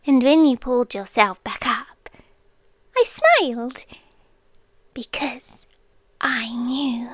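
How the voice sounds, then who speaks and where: A British woman playing an obsessive, creepily devoted yandere girlfriend in an intimate ASMR roleplay, breathy and often whispering, lingering on short phrases. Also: a little high, slow, pauses often, no room sound. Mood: teasing menace. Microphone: close microphone, dry intimate recording.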